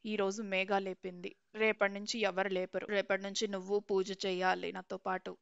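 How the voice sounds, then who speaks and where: A woman's voice: medium pitch, flat delivery, normal speed, vocal fry, clear, resonant tone, no room sound, lo-fi sound, voice pushed down, lightly acted. A woman voicing a strict, scolding mother-in-law in a cartoon story. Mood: stern. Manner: stern, lecturing.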